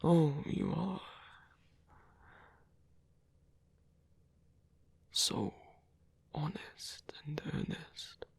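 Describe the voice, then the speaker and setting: A man playing a lonely, tender old vampire with a German accent in an ASMR roleplay, speaking softly and haltingly with formal phrasing. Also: fairly high pitch, sing-song, exaggerated voice. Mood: tender, fond. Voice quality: breathy, husky. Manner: hesitant, halting, formal phrasing, soft-spoken.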